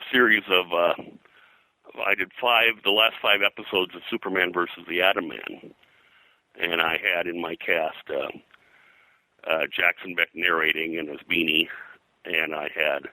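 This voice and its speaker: A man's voice: low voice, pushed and bright, dry recording, rough recording. An older man talking as an interview guest, reminiscing in conversational, detailed stories with digressions. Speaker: interview guest, pop culture historian and comics artist.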